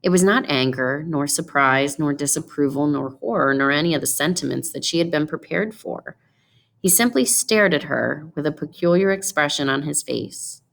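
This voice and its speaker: Low-pitched, creaky-voiced woman narrating. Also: normal speed, fairly bright tone. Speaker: story reader. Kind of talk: expressive scripted storybook narration.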